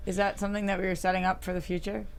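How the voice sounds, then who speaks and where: A woman's voice: mid-range voice, little pitch movement, racing, clear, resonant tone. A woman speaking casually on a discussion panel, conversational and informal. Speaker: table read panelist.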